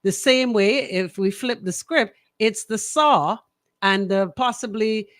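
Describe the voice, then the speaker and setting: A woman's voice: medium pitch, slow, no echo, clean studio sound. A woman with a Bahamian accent hosting a radio discussion, arguing her opinions emphatically and repeating phrases for weight. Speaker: radio talk show host.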